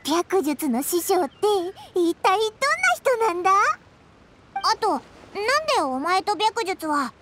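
Squeaky, very high childlike sprite. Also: female voice, lively intonation, measured pace, background noise. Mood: curious. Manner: blunt boyish phrasing, inquisitive. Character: tiny childlike companion sprite, curious, blunt and cheeky. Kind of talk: Japanese anime game voice acting. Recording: music playing behind the voice.

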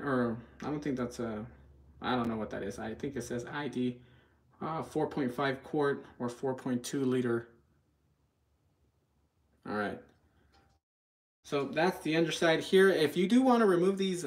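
A man giving casual live product commentary in a home kitchen, speaking informally and a bit hesitantly straight to viewers. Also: medium pitch. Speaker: product reviewer, channel host.

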